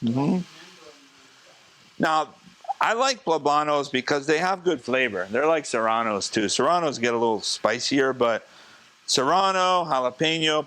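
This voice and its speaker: A man, a chef, teaching a live cooking demonstration in a casual, chatty way, slightly hoarse as he is losing his voice. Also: mid-range voice, sharp tone. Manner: casual, chatty, talks to the class.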